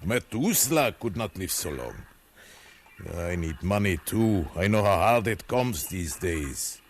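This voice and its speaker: An actor playing a gruff, scolding slave-owning ship captain with a soft side, blustering theatrically in 1950s radio drama. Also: male voice, low-pitched, very animated, unhurried, exaggerated voice. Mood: grudging, wry. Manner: blustering, theatrical, punctuated with querying grunts. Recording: faintly noisy vintage radio recording.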